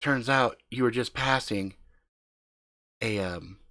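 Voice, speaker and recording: A man's voice: medium pitch, slow pace, pushed and bright. A man voicing a prim, disapproving wife who investigates the paranormal with her husband.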